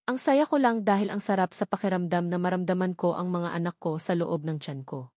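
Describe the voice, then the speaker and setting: A woman's voice: fairly low pitch, fairly quick, a little mellow, dry recording, studio quality. A woman reading a first-person romance novel as a scripted story narrator. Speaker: audiobook narrator.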